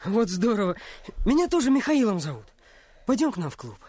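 An actor playing a spirited, insistent teenage boy in a radio play, with a husky voice and brisk colloquial talk. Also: mid-range voice, big pitch swings, dark tone, dry recording. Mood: friendly, insistent.

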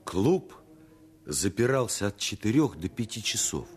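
A male storyteller in a radio drama. His voice is deep and moves widely in pitch. He delivers scripted adventure narration with measured suspense. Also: very animated, husky, full character voice. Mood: measured, suspenseful.

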